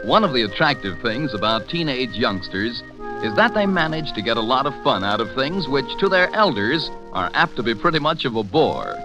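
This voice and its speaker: A man with an American accent doing scripted 1940s radio announcing and sponsor pitches. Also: very animated, moderate pace, music in the background, poor audio.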